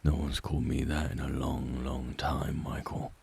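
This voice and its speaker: A man reading a story, voicing a raspy, menacing middle-aged father who taunts his son in a cold, sneering way. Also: very deep voice, little pitch movement, normal speed, a little mellow, exaggerated voice. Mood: taunting. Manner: taunting, sneering, drops to whispers.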